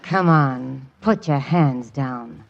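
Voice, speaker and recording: A woman's voice: rumbling low, slow, vocal fry, exaggerated voice. A woman playing a brassy, bossy lady doctor with a sardonic, commanding manner in an old radio comedy. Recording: dull, noisy vintage radio recording.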